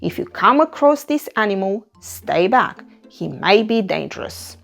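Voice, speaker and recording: A woman's voice: mid-range voice, sing-song, a little slow, creaky, a bit bright, soft background music, heavily acted. A woman voicing a fussy female maths teacher in a children's storybook, formal and dramatic. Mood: cautioning.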